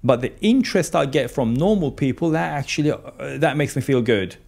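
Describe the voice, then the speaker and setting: A man, a podcast guest talking casually into a boom-arm studio mic, filling his speech with "you know" and "um". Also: lively intonation, a little fast, creaky, a little mellow. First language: Turkish. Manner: gestures a lot, frequent "you know" and "um". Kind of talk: casual interview chat. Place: small studio with colored backdrop.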